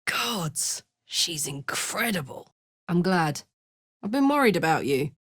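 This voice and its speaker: A woman voicing a brash, warm-hearted fantasy warrior in a video game, blunt, informal and expressive. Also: on the low side, slow pace, slightly rough, dry recording, clean studio sound, exaggerated voice. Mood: warm, tender. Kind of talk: video game character voice acting.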